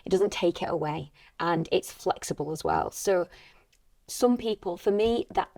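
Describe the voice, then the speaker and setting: A British woman with a slightly low-pitched voice speaks conversationally, as in an interview. She comes across as an earnest, encouraging coach offering advice to people in her field. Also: lively intonation, moderate pace, dark tone, no echo. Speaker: actor and acting coach.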